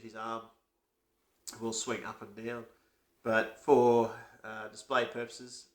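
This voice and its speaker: Bloke with a cold, bright voice, hesitant review chat in an echoey room. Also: male voice, medium pitch. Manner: relaxed, hesitant with many fillers. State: has a cold. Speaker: toy reviewer. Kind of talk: casual toy review.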